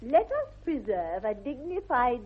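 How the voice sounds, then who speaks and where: A woman's voice: a little high, big pitch swings, unhurried, husky, heavily acted. An actress playing a haughty, imperious young society lady with an upper-class English accent, grand and affronted, in 1960s radio comedy style. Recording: muffled narrow-band vintage radio recording.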